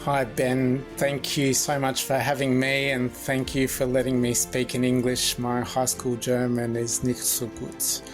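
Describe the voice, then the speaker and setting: Measured Australian man explaining medicine. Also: mid-range voice, completely flat delivery, faint music behind. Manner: measured, methodical, with frequent restarts. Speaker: emergency physician guest. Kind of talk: podcast interview, explanatory.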